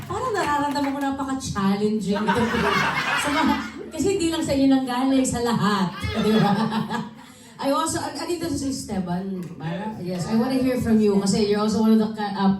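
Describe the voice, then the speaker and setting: A woman's voice: a little high, expressive, fairly quick, noisy background. A woman hosting a cast panel, calling on actors and asking friendly questions. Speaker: event host. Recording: distant, echoey room with crowd.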